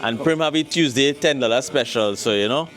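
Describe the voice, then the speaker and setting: A man's voice: mid-range voice, fairly quick, a bit bright, faint music behind. A man with a Trinidadian accent hosting a street interview show, upbeat as he introduces guests and asks questions. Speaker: host. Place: city street, then inside a barbershop.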